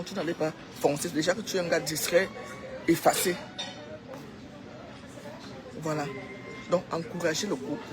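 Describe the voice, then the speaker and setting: A woman's voice: lowish voice, rough recording. Exhorting Cameroonian woman over music. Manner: exhorting, repeats phrases for emphasis. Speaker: social media commentator. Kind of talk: informal opinionated monologue to followers.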